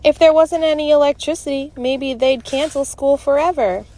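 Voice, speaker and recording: A woman's voice: high-pitched, steady tone, normal speed, warm tone, pitched above the performer's own voice, natural voice. A woman voicing a grumpy, challenging schoolboy in a storybook, blunt and griping. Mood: cheeky. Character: grumpy, challenging schoolboy who gripes and blurts.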